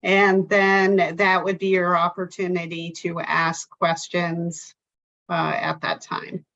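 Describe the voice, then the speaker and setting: A woman on the town staff explaining a procedure at a remote public meeting in a measured way, with some fillers. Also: on the low side, little pitch movement, creaky, a bit bright. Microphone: video call. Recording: dull lo-fi video call audio.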